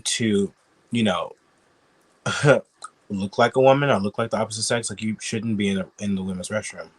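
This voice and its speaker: Brief: sick, sniffly male YouTuber, low-ish voice, blunt chatty commentary. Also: fairly bright tone. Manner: chatty, blunt, swears freely. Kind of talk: casual reaction commentary.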